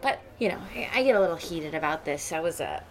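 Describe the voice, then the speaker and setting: A woman's voice: deep voice, lively intonation, fast, a little hiss or hum, low-quality recording. A woman playing a snobbish, judgmental wealthy school mother in an improvised radio play, rambling on with boastful opinions. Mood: indignant, opinionated.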